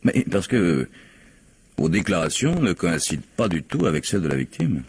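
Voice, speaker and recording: An actor playing an insistent, skeptical police investigator in a radio mystery, probing methodically with formal politeness. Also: male voice, sits low, sing-song, moderate pace, darkish tone, breathy edge, no echo, heavily acted. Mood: pressing. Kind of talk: French radio mystery drama acting.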